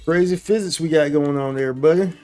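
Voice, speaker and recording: A man's voice: on the high side, brisk, a little mellow. A man doing casual live gameplay commentary, thinking aloud in slangy, repetitive phrases. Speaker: game livestreamer. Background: faint game music.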